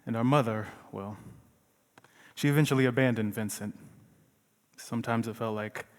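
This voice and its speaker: An actor playing a weary, burdened brother, halting and reflective in a theatrical stage monologue. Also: male voice, medium pitch, natural voice.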